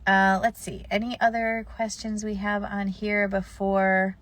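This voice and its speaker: A woman's voice: medium pitch, level tone, measured pace. A woman florist with a slight cold answering viewer questions in a live stream, offering practical advice in a conversational way with plenty of fillers.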